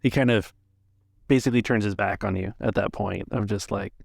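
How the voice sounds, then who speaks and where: Male game master, casual narration, low gravelly voice, dry recording with no room. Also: fairly even intonation, brisk, no room sound.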